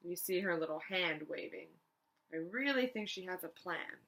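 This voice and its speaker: A woman reads a children's picture book aloud as a storyteller. Her voice is bright and very animated, with wide swings of pitch and small asides to the listener. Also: moderate pace.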